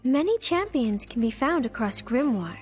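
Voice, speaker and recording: An actress playing a composed otherworldly guide in a video game, explaining things in a measured, instructive way. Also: female voice, high voice, big pitch swings, a little mellow, faint music behind. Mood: measured, sly. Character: otherworldly woman guide who explains summoning rules, composed. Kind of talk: video game cutscene acting.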